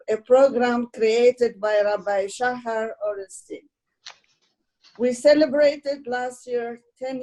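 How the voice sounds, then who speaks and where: A woman with a fairly high voice welcomes an online audience as coordinator. She speaks very slowly and deliberately, in a formal, ceremonious way. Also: warm tone, rough recording. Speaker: programme coordinator and host. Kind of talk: formal welcome speech on a video call. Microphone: video call.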